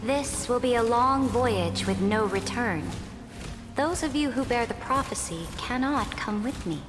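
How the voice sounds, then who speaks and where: Commanding empress in a reverberant hall. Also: female voice, fairly high pitch, lively intonation. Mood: solemn, defiant. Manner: measured, authoritative, formal. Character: commanding female imperator, proud, shrewd, resolute. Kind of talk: dramatic game dialogue. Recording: reverberant hall, music behind.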